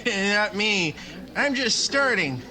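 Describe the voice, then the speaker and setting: An actor playing a boastful, drunken young western loudmouth, taunting and spoiling for a fight in a radio drama. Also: male voice, high-pitched, sing-song, takes it slowly, full character voice, poor audio. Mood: belligerent taunting. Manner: taunting, bragging.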